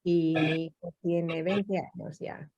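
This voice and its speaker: A middle-aged woman with an accent from Spain, speaking quietly as a parent on a video call. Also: lowish voice, fairly even intonation, slow. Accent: Spanish from Spain. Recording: muffled call audio with loud background noise.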